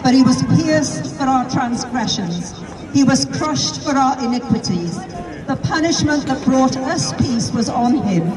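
A middle-aged British woman preaching in the open air on a shopping street, earnest and full of rhetorical questions, into a handheld microphone through a speaker. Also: on the high side. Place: busy pedestrian shopping street outdoors. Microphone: handheld mic through a street speaker. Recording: distant, outdoor street with crowd noise. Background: crowd of shoppers.

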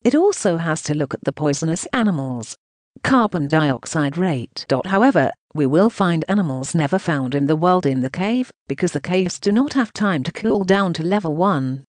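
Robotic news reader voice, choppy, says the punctuation out loud, bouncy pitch, dry sound. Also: animated, moderate pace, dry recording. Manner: choppy, reads punctuation marks aloud. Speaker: narrator. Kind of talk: synthetic voice reading translated news text.

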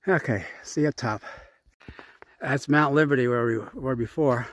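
A man casually narrating his hike to a handheld camera outdoors on a mountain trail, chatty and unhurried, winded at times from the climb. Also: mid-range voice, no echo. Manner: chatty, unhurried, reads signs aloud. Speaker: hiking vlogger.

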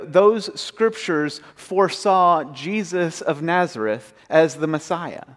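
Slow-preaching man in a reverberant church. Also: fairly high pitch. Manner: measured storytelling, retelling scripture casually. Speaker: pastor. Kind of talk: sermon. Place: church sanctuary, large, indoors. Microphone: pulpit mic, far camera.

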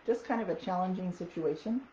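A woman vlogger with a raspy, hoarse voice chatting casually about wellness products, with lots of ums. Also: fairly low pitch, brisk, warm tone. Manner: chatty, many ums, reads product notes aloud. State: hoarse from talking. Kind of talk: casual product talk to camera. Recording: echoey dull audio with faint noise.

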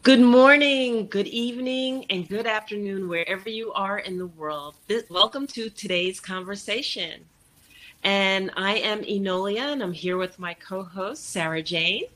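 A woman's voice: mid-range voice, measured pace, a bit bright. A middle-aged woman hosting a conversational interview over a video call in a welcoming, chatty way. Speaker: host. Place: indoor room, video call.

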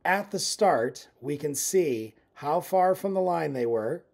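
A man's voice: on the high side, expressive, takes it slowly, vocal fry, darkish tone. A man in his fifties giving an informal instructional walkthrough as a sailing coach, conversational and explanatory.

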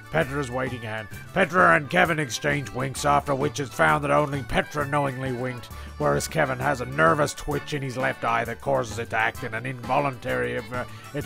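A man's voice: medium pitch, normal speed, vocal fry, over music. A man narrating a comic story in a rambling, digressive way, often stumbling and backtracking over his words.

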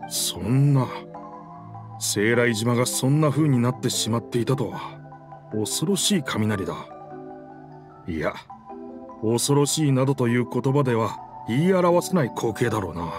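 A man's voice: mid-range voice, animated, unhurried, pauses often, over music, natural voice. A man voicing a game character, the proud, wistful spirit of an old pirate warship, with rugged, old-fashioned, theatrical speech. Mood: shaken, awed.

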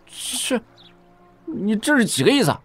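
A man playing a brash, glory-hungry cavalry general in a wuxia audio drama, with a swaggering, blunt, rough-spoken manner. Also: high voice, big pitch swings, measured pace, exaggerated voice. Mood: indignant, fiery.

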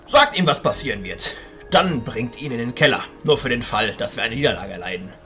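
A man's voice: medium pitch, animated, a little fast, sharp tone, soft background music. An actor playing a resolute male archchancellor of a magic university, authoritative and formal, in an audio drama. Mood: grave.

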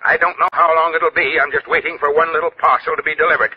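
A man's voice: a little high, fairly even intonation, quick pace, breathy edge. An actor playing a crusty, suspicious, nervous middle-aged man in an old radio drama, speaking in a curt, irritable way. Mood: jittery, curt. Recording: dull, lo-fi old radio recording, noisy.

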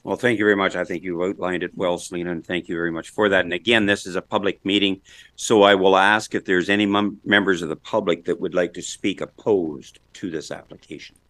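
A man's voice: low voice, steady tone, fairly quick, a bit bright. A man chairing a public council meeting in a courteous, procedural way.

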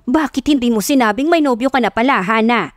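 Woman acting a nosy, high-voiced, excitable mother. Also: a little fast, studio quality, lightly acted. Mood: curious, astonished. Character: nosy, suspicious, excitable middle-aged mother. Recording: roomy echo, music bed behind.

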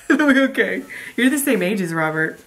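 Animated woman in her late twenties. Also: mid-range voice, moderate pace. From Texas. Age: late 20s. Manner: excitable, jumps in, acts out quotes. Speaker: vlogger. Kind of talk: casual chat with a friend. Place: small room indoors. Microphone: webcam in front.

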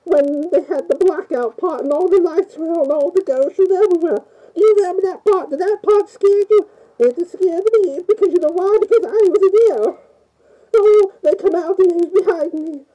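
A woman's voice: very high-pitched, normal speed, few pauses, dark tone, rough recording. A woman playing a timid, easily scared Italian plumber man with a put-on Italian accent, rambling nervously through a game review with many "oh"s. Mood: nervous chatty. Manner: nervous, rambling, frequent "oh" and "mamma mia". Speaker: fan playing a video game character.

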